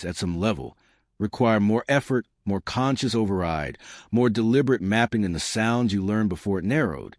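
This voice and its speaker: A man narrating a scripted science explainer, measured and deliberate, with slow emphatic short sentences. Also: fairly low pitch, no echo, studio quality.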